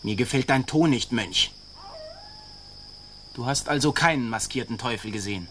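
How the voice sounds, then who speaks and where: An actor playing a brusque, suspicious army sergeant in a German radio play, with a threatening manner. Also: male voice, mid-range voice, moderate pace, a bit bright, a little hiss or hum, close to an everyday voice. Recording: faint night crickets behind. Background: faint night insect ambience.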